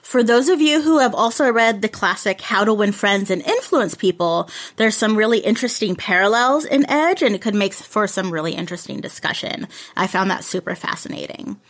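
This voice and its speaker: Woman co-host, mid-pitched voice, steady informative book reviews. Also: brisk, hardly any pauses. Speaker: podcast host. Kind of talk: book review, read-through summary.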